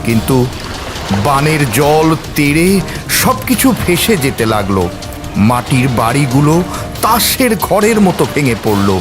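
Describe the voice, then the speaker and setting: A man's voice: on the high side, sing-song, measured pace. Guy telling a dramatic story, voice swoops up and down loads, suspenseful, music under it. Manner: theatrical, suspenseful delivery. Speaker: narrator. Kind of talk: dramatic scripted story narration.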